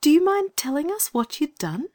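A man voicing a kind, curious young girl in a read-aloud storybook, in a gentle, questioning girlish voice. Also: very high-pitched, sing-song, normal speed, voice pushed up.

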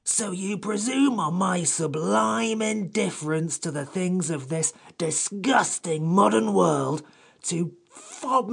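A man voicing a grumpy, sarcastic sand fairy creature in an audiobook, with a slow, pompous, withering drawl. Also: sits high, gravelly, a bit bright, husky, pitched above the performer's own voice, heavily acted. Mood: huffy. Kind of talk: audiobook character voice.

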